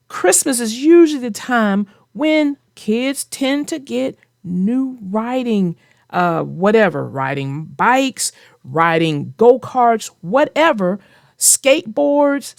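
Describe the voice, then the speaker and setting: A woman's voice: on the high side, expressive, slow pace, creaky, no echo, studio quality. A woman host discussing a children's story and giving advice in an emphatic, conversational way. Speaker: host of a children's read-aloud show.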